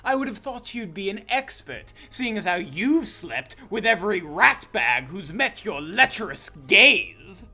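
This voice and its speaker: A man playing a jealous, wounded vampire in a dark comedy audio drama, prim and theatrically indignant. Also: high voice, sing-song, a little slow, few pauses, soft background music, exaggerated voice. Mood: bitterly sarcastic. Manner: indignant, pouting, melodramatic.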